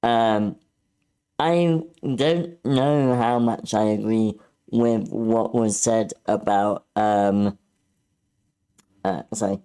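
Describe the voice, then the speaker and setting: A man's voice: lowish voice, dark tone, smooth. A man giving casual critique in an online meeting, tentative and thoughtful, hesitating as he goes. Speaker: script feedback participant.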